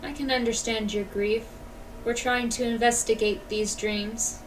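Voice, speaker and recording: A woman's voice: a little high, fairly even intonation, measured pace. A woman streaming a game, reading dialogue aloud on a livestream. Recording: slightly distant, faint background noise.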